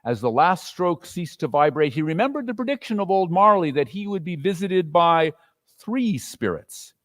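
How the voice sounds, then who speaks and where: A man giving an expressive, theatrical dramatic reading of a story as narrator. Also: high voice, normal speed. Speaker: dramatic reader.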